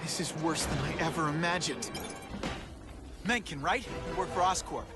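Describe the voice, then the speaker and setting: An actor playing a quippy, earnest young American superhero in a video game cutscene. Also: male voice, a little high, moderate pace, lightly acted. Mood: concerned, determined. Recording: music behind the voice.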